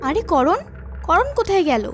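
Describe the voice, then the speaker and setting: A woman voicing a supportive young female student in an animated horror story. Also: very high-pitched, normal speed, over music. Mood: alarmed.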